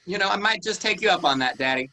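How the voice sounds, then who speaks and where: A man's voice: high-pitched, expressive, quick pace, sharp tone, no echo, exaggerated voice. A man reading the part of a terse, defiant teenage farm son. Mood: sullen. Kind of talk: staged play reading.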